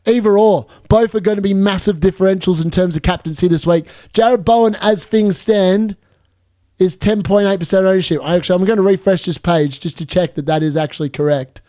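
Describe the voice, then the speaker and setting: Excitable high-voiced man in his thirties. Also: a little fast, no room sound, clean studio sound. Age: 30s. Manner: chatty, excitable, laughs often, reads viewer questions aloud. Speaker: fantasy football streamer. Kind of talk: live stream Q&A chat.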